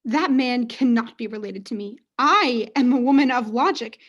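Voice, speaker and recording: A woman's voice: fairly high pitch, normal speed, a bit bright, highs cut off. A woman playing a self-absorbed, haughty mother in a student comedy radio play, theatrical and overwrought. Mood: haughty, disdainful.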